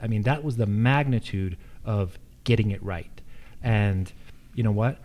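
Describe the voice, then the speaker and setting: A man's voice: on the low side, measured pace, a little mellow. An American man giving unscripted answers as a candidate at a public forum. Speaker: political candidate, incumbent county official.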